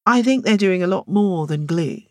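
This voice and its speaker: A woman's voice: fairly low pitch, animated, a little mellow, dry recording, clean studio sound, pitched above the performer's own voice, lightly acted. A woman voicing a mild, easygoing woman who muses among her friends in an audiobook. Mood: doubtful. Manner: unhurried remarks to no one in particular.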